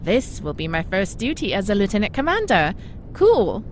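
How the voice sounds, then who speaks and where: A courteous, wry starship doctor acted by a woman, with animated pitch movement. It is science fiction audio drama, with a faint rumble behind. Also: normal speed. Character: courteous, wry female starship chief medical officer.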